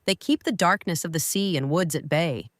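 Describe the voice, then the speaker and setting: A woman's voice: lowish voice, normal speed, no room sound, studio quality, lightly acted. A woman playing a gracious older lawyer. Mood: calm. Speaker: retired lawyer. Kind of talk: audio drama acting.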